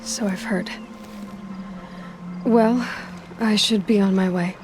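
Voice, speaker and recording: A woman's voice: medium pitch, steady tone, slow pace, music in the background, close to an everyday voice. An actress playing a determined young woman hunter in a video game, speaking firmly and directly. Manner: firm and direct. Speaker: protagonist. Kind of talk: game cutscene acting. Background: game score.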